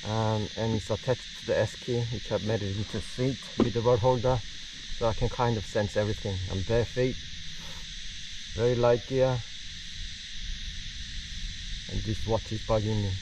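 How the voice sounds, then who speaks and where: Man, lowish pitch, casual fishing vlog narration, outdoors on a paddleboard, very noisy background. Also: fairly even intonation, normal speed. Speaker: fishing vlogger, host. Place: outdoors on a paddleboard on open water. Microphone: action camera mounted on the board, at a distance. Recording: distant mic, loud outdoor background noise.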